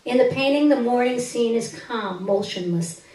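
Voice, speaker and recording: A woman reads a literary story aloud on stage in an expressive, emphatic first-person narrating style. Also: on the high side, normal speed. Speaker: story reader. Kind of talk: dramatic literary reading aloud. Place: live reading at a stage event, indoors. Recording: roomy echo, distant microphone.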